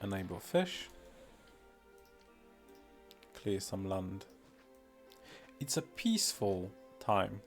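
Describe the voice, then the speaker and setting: A Polish-accented man talks slowly on a live stream in a low, unhurried voice. He rambles and fills pauses with ums, poking fun at himself. Quiet music plays softly behind him. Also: sing-song. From Poland. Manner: rambling, self-deprecating, many fillers. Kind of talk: casual live-stream commentary.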